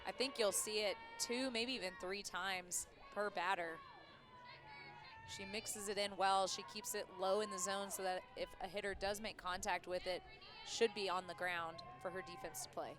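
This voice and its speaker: A woman working as a color commentator, giving live softball analysis in a conversational, explanatory way. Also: medium pitch, steady tone, moderate pace. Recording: music and crowd behind, outdoors. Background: music, ballpark crowd.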